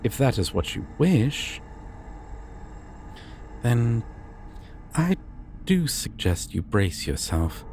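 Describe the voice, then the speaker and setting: A man playing a courteous, formal deity of dreams in an audio roleplay, speaking to the listener with measured, theatrical pauses. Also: mid-range voice, sing-song, darkish tone, faint music behind. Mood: composed, grand. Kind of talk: immersive roleplay addressed to the listener.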